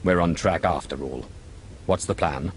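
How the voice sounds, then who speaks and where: An actor playing a cold, commanding Sith lord in a space opera game, speaking with measured authority. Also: male voice, low-pitched, slight background noise, close to an everyday voice. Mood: commanding, focused. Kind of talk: video game cutscene acting.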